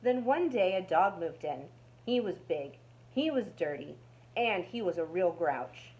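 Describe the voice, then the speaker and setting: A woman's voice: fairly low pitch, animated, measured pace, darkish tone, highs cut off. A woman narrating a children's storybook aloud as a storytime reader.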